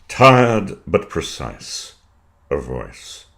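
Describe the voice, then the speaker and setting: A man reading poetry in a measured, dramatic style, with deliberate, precise phrasing and weighted pauses. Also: extremely low pitch, sing-song, fairly bright tone. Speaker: poetry reader.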